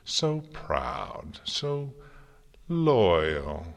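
A man's voice: mid-range voice, sing-song, measured pace, a bit bright, voice pushed up, full character voice. A man voicing a mad, gleefully malicious chaos creature in a storybook, theatrical, taunting and crooning. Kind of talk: dramatic storybook character reading.

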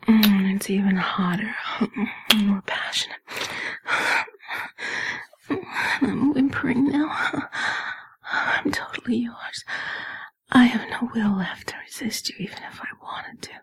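A woman whispering in a breathy, airy voice, in a sultry, intimate adult audio roleplay style with lingering, sighing delivery. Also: mid-range voice, a little slow, pauses often.

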